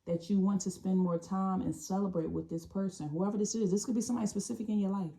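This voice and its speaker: A woman giving an intuitive tarot reading, talking in a calm, meandering way and often restarting or repeating phrases. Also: medium pitch, fast talker. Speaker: tarot reader.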